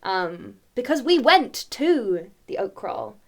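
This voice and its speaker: A woman's voice: fairly high pitch, sing-song, slow pace, gravelly. A woman co-hosting a casual chat about a folk song, cheerful and giggly in conversation. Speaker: co-host, musician.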